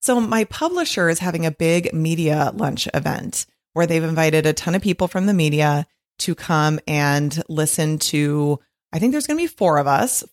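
A middle-aged woman hosting her podcast alone, her voice a little husky, talking in a chatty, rambling way with frequent laughs. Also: sits low. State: slightly husky from long recording. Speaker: podcast host and author. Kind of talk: casual solo podcast monologue.

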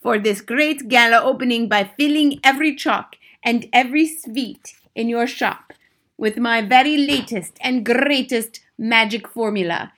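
A woman's voice: on the high side, a bit bright. A woman voicing a wicked, bloodthirsty old witch leader in a storybook, commanding and triumphant, speaking in broken, foreign-sounding grammar. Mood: imperious.

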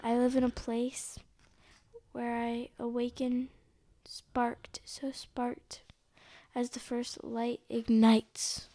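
A woman with a fairly high voice reads a picture book aloud as host. She takes it very slowly and haltingly, going back over words as she goes. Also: monotone, dark tone. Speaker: host of a read-along.

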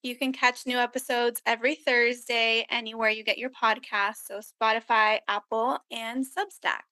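A woman's voice: on the high side, little pitch movement, moderate pace, pushed and bright, no echo. An American woman talking casually as a podcast co-host. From Miami, USA.

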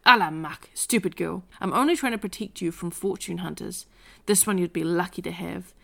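Pushy nagging mom, excitable, scolding, woman reading her, creaky low voice. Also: moderate pace, heavily acted. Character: pushy middle-aged mother, meddling, scolding.

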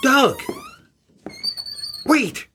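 A man plays a baffled young rock drummer in an audio drama. His pitch leaps about dramatically though his pace is slow, and he sounds incredulous and pleading over faint background noise. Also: wildly swooping pitch, sharp tone, exaggerated voice.

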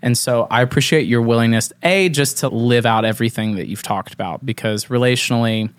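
A man's voice: medium pitch, a little fast, smooth, no echo. Earnest, rambling podcast host, man. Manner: earnest, rambling questions with many fillers. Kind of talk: casual interview chat.

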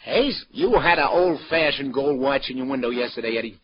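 Actor as a hardboiled police lieutenant, dull old recording. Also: male voice, mid-range voice, a little fast, slight background noise, natural voice. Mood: businesslike. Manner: terse, insistent questioning. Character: brisk, pressing, hardboiled New York police lieutenant. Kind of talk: 1940s radio noir acting. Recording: dull old radio recording, crowd behind.

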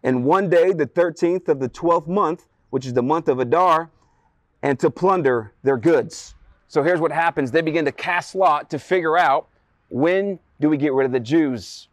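A man's voice: fairly high pitch, moderate pace, no room sound. A man preaching a sermon, retelling a Bible story dramatically with rhetorical questions and emphatic repetition.

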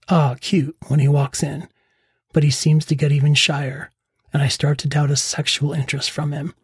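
A middle-aged man with a husky voice narrating a scripted first-person memoir as a podcast host. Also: on the high side, darkish tone, no echo. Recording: close dry voice over faint music.

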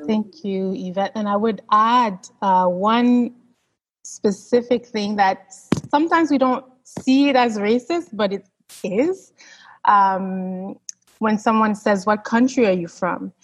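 A woman's voice: medium pitch, slow, rough recording. A woman in her forties from Canada hosts a discussion panel, talking in a friendly way with light laughs. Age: 40s. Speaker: host.

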